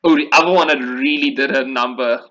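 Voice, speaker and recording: A man's voice: mid-range voice, steady tone, brisk, bright, forceful tone, muffled recording. A man chatting casually and enthusiastically about video games in an informal way, as a podcast host.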